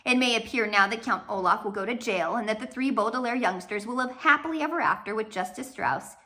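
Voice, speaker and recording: A woman narrating a children's storybook aloud in an expressive, scripted reading style. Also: on the high side, brisk. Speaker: audiobook narrator.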